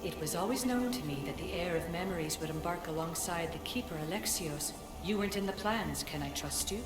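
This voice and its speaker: Actress doing an ancient female spirit guide from a game, solemn n commanding, low voice, big hall reverb, music behind. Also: close to an everyday voice. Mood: calm, aloof. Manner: measured, formal, cryptic. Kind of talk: scripted game cutscene acting.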